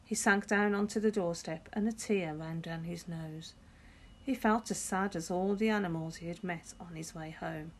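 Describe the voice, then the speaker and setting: A woman narrating a picture book for young children in an expressive teacher's manner. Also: lowish voice, moderate pace. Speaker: primary school teacher.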